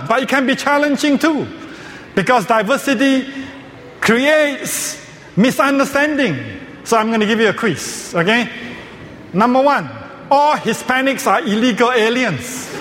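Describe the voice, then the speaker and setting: A man lectures with jokes and quiz questions that he answers himself. His voice sits very high. Long reverb from the hall trails after each phrase. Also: a little slow, a bit bright, slight background noise. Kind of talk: joke-filled lecture.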